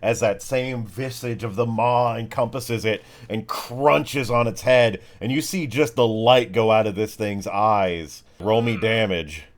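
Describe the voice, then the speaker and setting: A man's voice: lowish voice, a little slow, hardly any pauses. A man acting as game master, improvising descriptive narration of a tabletop game mixed with informal, sweary chat.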